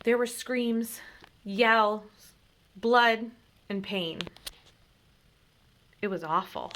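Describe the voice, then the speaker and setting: A woman reading a children's story aloud in an expressive, deliberate way, like a teacher. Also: mid-range voice, slight background noise.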